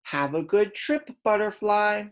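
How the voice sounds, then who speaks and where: A woman reading aloud as a kindergarten teacher, in a deliberate, instructional way that stresses key words. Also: mid-range voice. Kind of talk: read-aloud lesson for young children.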